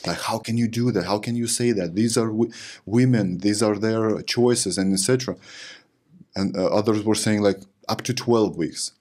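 A man in his thirties with a Lithuanian accent, speaking into a close podcast mic in a studio. His voice sits fairly low, and he talks haltingly, often pausing to find the right English word. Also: darkish tone. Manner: halting, searching for words. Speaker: podcast host. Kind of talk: podcast interview. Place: studio with green curtains. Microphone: desk podcast mic on boom arm.

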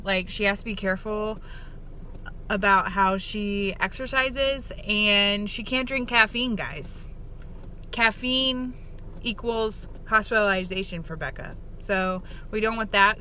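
A young woman talks casually, as if vlogging to friends. Her voice is bright and her pace is easygoing and slow. She is recorded inside a car with faint noise around her. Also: mid-range voice. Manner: informal, chatty. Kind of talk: casual vlog chat to camera.

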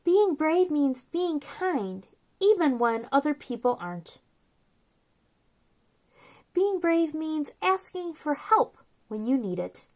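A woman in her thirties speaking to young children as a story time host, with an expressive, simple delivery. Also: sits high, slow. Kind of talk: children's read-aloud and chat to young viewers.

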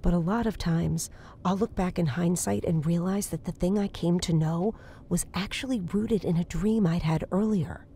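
A woman's voice: on the low side, dark tone, a little hiss or hum, lightly acted. An actress playing an earnest, uneasy woman psychic in a horror audio drama, speaking in a hesitant, naturalistic way. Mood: earnest, concerned. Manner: hesitant, halting. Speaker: voice actor.

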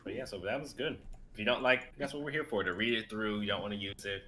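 A man's voice: fairly low pitch, steady tone, fast. A man in his twenties talking casually with friends on a video-call livestream. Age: 20s. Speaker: member of a script-reading group. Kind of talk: casual group chat on a livestream. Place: indoors at home, video call. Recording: noisy video call audio.

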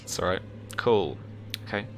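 A young man casually narrating as game master and explaining rules, in a hesitant, halting way, on a video call. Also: deep voice, expressive, creaky. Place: indoor room at home, over video call. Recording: faint background noise.

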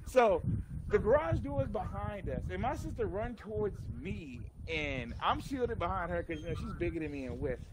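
A man's voice: high voice, normal speed. A man casually telling stories over game chat, swearing and using slang as he acts out the dialogue. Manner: animated, profane, slangy, acts out quoted dialogue. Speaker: gaming channel player telling childhood stories. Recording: noisy game voice chat with clicks.

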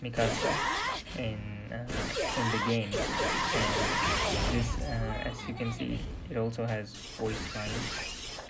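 A young man giving casual gameplay commentary in a hesitant, halting way. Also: medium pitch, little pitch movement. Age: young adult. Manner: hesitant, halting delivery with trailing phrases. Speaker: gaming video creator. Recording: loud music and game audio behind. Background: loud music.

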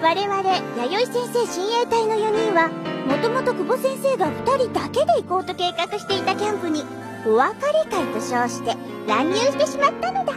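A woman's voice: extremely high pitch, big pitch swings, normal speed, over loud music. A woman voicing a cheerful, self-admiring schoolgirl who narrates a cartoon, playful and gushing. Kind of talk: anime narration.

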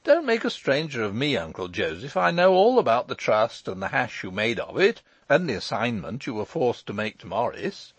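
A man voicing a brisk, teasing gentleman lawyer in an audiobook story, talking in an eager, emphatic, breezy way. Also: mid-range voice, sing-song, breathy edge, no room sound. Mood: scolding, emphatic.

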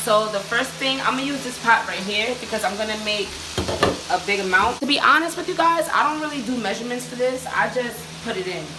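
A young woman filming a cooking video on her phone in her home kitchen, chatting to viewers in a relaxed, slangy way. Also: on the high side, brisk. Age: young adult. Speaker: cooking vlogger. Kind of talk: casual cooking demo. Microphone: handheld phone camera. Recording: sizzling pan noise behind. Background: oil frying and sizzling.

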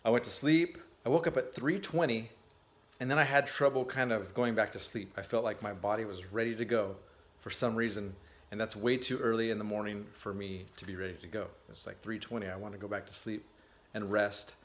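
A man's voice: fairly low pitch, fairly even intonation, fairly quick, a bit bright. A man in his thirties vlogs into a handheld phone in a cluttered garage. He talks in a loose, candid ramble, stopping and restarting his sentences with plenty of fillers. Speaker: vlogger. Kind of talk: casual vlog. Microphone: phone at arm's length.

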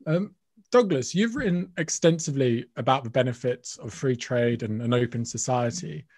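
A man's voice: mid-range voice, moderate pace, darkish tone, no room sound, clean studio sound. A young man moderating an online panel discussion from a room, speaking over a video call. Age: young adult. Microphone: video call microphone.

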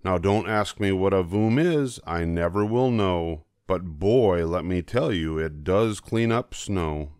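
An older man reading a rhyming children's story aloud as an expressive narrator. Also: sits low, slow, few pauses, smooth, no room sound, studio quality. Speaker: storybook reader.

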